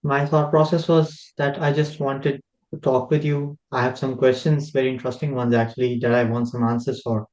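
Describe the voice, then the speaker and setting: A man's voice: mid-range voice, flat delivery, moderate pace, darkish tone, muffled recording. A nineteen-year-old man from England, speaking eagerly and directly on a group call. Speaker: participant.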